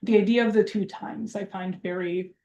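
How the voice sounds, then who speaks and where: A woman's voice: mid-range voice, fairly even intonation, moderate pace, warm tone, highs cut off. A woman from Serbia, a researcher answering audience questions informally, with a wry, self-deprecating manner. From Belgrade, Serbia. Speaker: academic researcher giving a public talk. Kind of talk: informal Q&A after a public lecture. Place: university lecture hall. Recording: roomy lecture hall acoustics.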